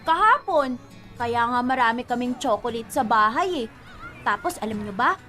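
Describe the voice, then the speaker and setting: A woman's voice: on the high side, expressive, moderate pace, pushed and bright, soft background music. A woman playing a bratty, boastful, nosy little girl in a radio drama, with a childlike, needling delivery.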